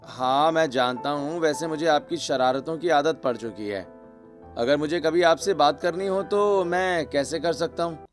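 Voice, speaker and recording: A man's voice: a little high, unhurried, soft background music, close to an everyday voice. A man voicing a teasing, playful young brother-in-law in a family cartoon. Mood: friendly.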